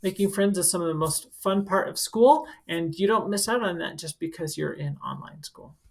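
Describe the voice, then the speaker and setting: A woman's voice: on the low side, moderate pace. A woman school counselor reading a picture book aloud to children, adding informal, instructive asides as she goes. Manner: instructive, speaking directly to children.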